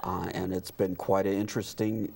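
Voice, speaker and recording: A man talking casually as an interview guest, in a halting, conversational way. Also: low-pitched, flat delivery, gravelly, darkish tone, breathy edge. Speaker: interview guest, community theater director.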